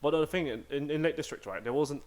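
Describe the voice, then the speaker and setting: A young man co-hosting a podcast, chatting in a relaxed, conversational way. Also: on the high side, quick pace. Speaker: podcast co-host. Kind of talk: casual chat.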